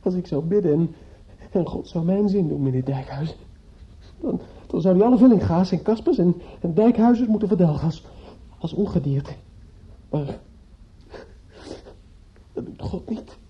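An actor in a radio play playing a distraught, bitter young man in grief, speaking haltingly with stammers and repetitions. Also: high voice, expressive, breathy edge, full character voice. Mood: bitter despair. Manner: halting, stammering, repeats himself. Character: distraught, bitter young bridegroom-to-be, grieving and obsessive. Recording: dull lo-fi radio play recording.

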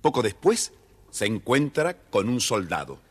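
A man with a husky voice, narrating a scripted children's story. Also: mid-range voice, big pitch swings, unhurried.

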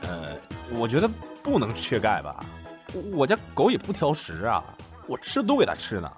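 An actor playing an excitable, distrustful dog owner in a modern audio drama, blustering and argumentative. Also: male voice, medium pitch, normal speed. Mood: incredulous. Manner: blustering, foul-mouthed, argumentative. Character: excitable, distrustful male dog owner, devoted to his pet. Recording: studio drama with music behind.

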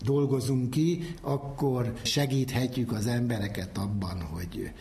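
A man with a medium-pitched voice gives an expert explanation. He talks like a professor, laying out his points methodically in measured phrases. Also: fairly even intonation, creaky, mellow, slight background noise. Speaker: psychology professor, researcher. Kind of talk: explanatory expert talk about research.

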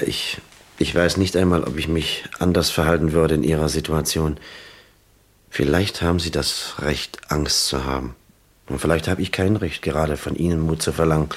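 A man acting an earnest, world-weary police lieutenant in a German crime radio play, deliberate and probing. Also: very deep voice, steady tone, slightly rough, full character voice. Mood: resigned, earnest.

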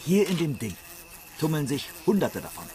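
An actor in a German radio drama playing a brusque investigator who gives curt, commanding orders. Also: male voice, fairly high pitch, very animated, moderate pace, background noise. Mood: brusque, irritated. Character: brusque male investigator examining grisly remains.

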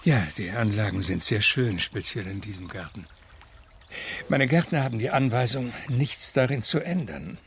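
An actor playing a refined, ailing gentleman in his late fifties, reminiscing in a cultivated, wordy way in a German radio play. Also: fairly low pitch, normal speed, a little hiss or hum. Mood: nostalgic. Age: middle-aged.

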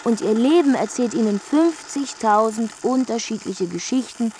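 Schauspielerin als gehetzte, frustrierte Frau, eher hell. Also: female voice, a little high, lively intonation, normal speed, a little mellow, slight background noise, lightly acted. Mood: weary, exasperated. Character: frantic, frustrated young woman worker telling her story. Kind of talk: German radio drama acting and narration. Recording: music behind the voice.

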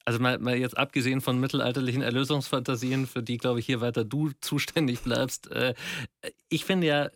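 A man's voice: mid-range voice, fairly bright tone, no room sound. A man, recorded close and dry. He thinks aloud in a conversational discussion, wordy and colloquial, circling his point reflectively.

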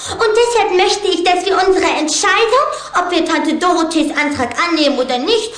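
A woman's voice: very high voice, normal speed, fairly bright tone, a little hiss or hum. A woman playing an eager, determined vampire child in a German children's audio drama, with echo around the voice. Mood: insistent, eager. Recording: echoey crypt-like reverb.

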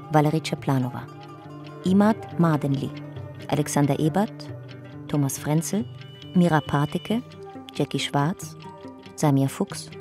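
A woman narrating a radio drama in a calm, measured reading. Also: low-pitched.

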